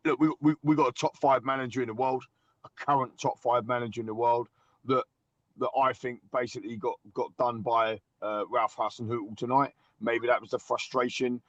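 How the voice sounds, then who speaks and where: A hoarse man chats excitedly as a fan guest on a podcast, his voice sitting mid-range. He rushes through restarts and repeats himself. The sound is dull and lo-fi, with no room echo. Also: mid-range voice. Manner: excitable, talks in quick restarts. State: hoarse from singing. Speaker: season-ticket-holding fan guest. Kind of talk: casual podcast chat.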